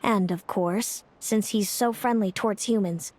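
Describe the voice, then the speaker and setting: A woman's voice: medium pitch, a little slow, a little hiss or hum, lightly acted. A woman playing a composed, self-assured young female competitor in a video game. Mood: calm, resolute. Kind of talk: game voice acting. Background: loud background music.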